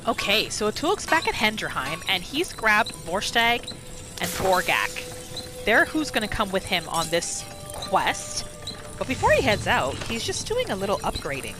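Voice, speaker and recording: A woman narrates a roleplay game as its host, over clearly audible music. Her pitch moves around in an animated way. She builds suspense with short phrases and pauses. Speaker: host of a gaming roleplay series. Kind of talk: storytelling gameplay narration.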